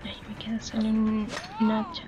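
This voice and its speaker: A woman's voice: fairly high pitch, completely flat delivery, normal speed, muffled recording, music in the background. A woman giving casual gaming commentary, murmuring to herself and dropping in English interjections. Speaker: gaming channel host. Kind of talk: casual horror game commentary. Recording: clearly noisy, game sounds behind. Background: game ambience.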